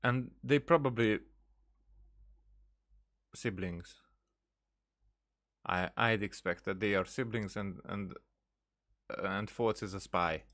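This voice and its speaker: A man's voice: lowish voice, takes it slowly, long pauses, a bit bright. A man giving casual playthrough commentary as a gaming streamer, musing hesitantly as he reacts.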